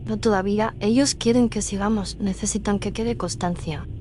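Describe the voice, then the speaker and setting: A woman's voice: medium pitch, steady tone, moderate pace, warm tone, a little hiss or hum, close to an everyday voice. A woman from playing an indignant, haunted woman in a horror story. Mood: resolute. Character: indignant, haunted young woman, half possessed by guilt. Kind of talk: dramatized horror narration.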